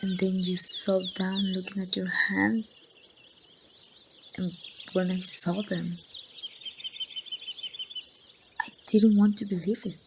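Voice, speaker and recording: Woman acting as grieving young woman, wistful ASMR roleplay, very slow halting delivery, lowish pitch, birdsong and noise behind. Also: little pitch movement. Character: grieving young woman confiding in lost best friend. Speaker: amateur voice actor.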